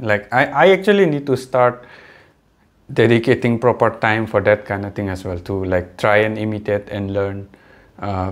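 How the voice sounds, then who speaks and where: A young man who speaks English as a third or fourth language, with an accent that mixes British, American and Indian English, talking hesitantly to the camera and often stopping to correct himself. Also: on the low side. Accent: mixed British, American and Indian-influenced English. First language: not English. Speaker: vlogger. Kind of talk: casual talk to camera.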